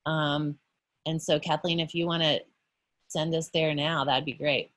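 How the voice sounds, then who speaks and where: A woman art educator leading teachers through an online workshop on a video call, explaining patiently with frequent pauses and self-corrections. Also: low voice, flat delivery.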